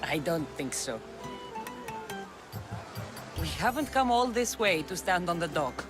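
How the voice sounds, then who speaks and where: A woman's voice: fairly low pitch, sing-song, unhurried, over music. A woman playing a tough, wry Greek mercenary in a video game, with a dry, sardonic way of talking. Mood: wry, skeptical. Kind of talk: video game character acting.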